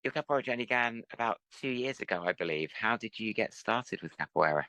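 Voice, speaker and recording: A man hosting a podcast interview, welcoming his guest and asking polite, measured questions. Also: on the low side, expressive, sharp tone, no room sound. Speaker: podcast host. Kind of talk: interview host.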